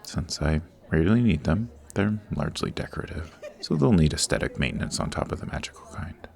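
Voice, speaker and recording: A man playing a suave, formal supervillain boss in an ASMR roleplay, patient and exacting, with measured, instructive speech. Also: a bass voice, expressive, creaky, a little mellow, full character voice. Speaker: voice actor.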